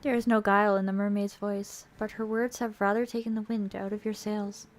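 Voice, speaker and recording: A woman's voice: mid-range voice, little pitch movement, a little fast, slight background noise. A woman streamer reading a visual novel aloud and chatting casually between passages. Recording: music faintly behind the voice. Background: music.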